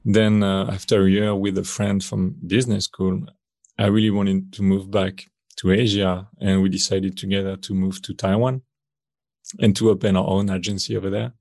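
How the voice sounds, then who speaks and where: A deep, gravelly man with a French accent speaks as a podcast guest, rambling easily with lots of fillers. His tone stays level and flat, and the sound is close and dry. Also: moderate pace, no room sound. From France. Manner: relaxed, rambling, many fillers. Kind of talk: casual conversational interview.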